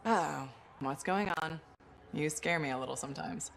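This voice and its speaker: A woman's voice: low voice, normal speed, creaky, a little hiss or hum. An actress playing a dry, self-assured female mercenary hero in a video game, speaking in a wry, understated way. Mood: wry, teasing.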